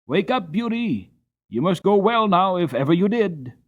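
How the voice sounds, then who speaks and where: A man voicing a kindly older male coachman who speaks in a steady, encouraging way. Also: high-pitched, moderate pace, dull audio, pitched above the performer's own voice. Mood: urgent.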